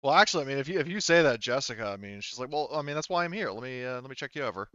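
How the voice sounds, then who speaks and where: A man's voice: medium pitch, lively intonation, racing, a bit bright, no room sound. A man running a tabletop game as game master, narrating and chatting casually through slightly dull audio.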